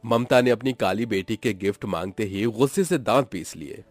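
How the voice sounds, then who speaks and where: A man's voice: fairly low pitch, moderate pace, no echo. A man narrating a scripted story in a dramatic storytelling style. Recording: music behind the voice.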